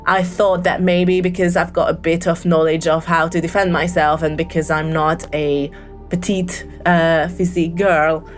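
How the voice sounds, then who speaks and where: Hesitant woman, low voice. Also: flat delivery, moderate pace, soft background music. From Hungary. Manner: hesitant, many ums and restarts. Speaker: caller leaving a voicemail. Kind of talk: casual spoken true story.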